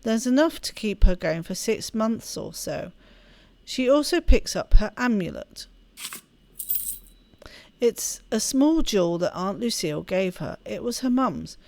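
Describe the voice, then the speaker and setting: A woman reading a story aloud as expressive narration for a game playthrough. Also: mid-range voice, a little slow. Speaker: let's play host reading game text aloud.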